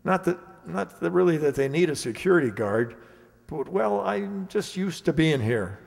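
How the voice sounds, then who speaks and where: A man's voice: fairly high pitch, expressive, moderate pace, creaky. An actor playing a lonely, resigned male security guard in an amateur radio play, talking in a folksy, rambling way. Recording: reverberant hall.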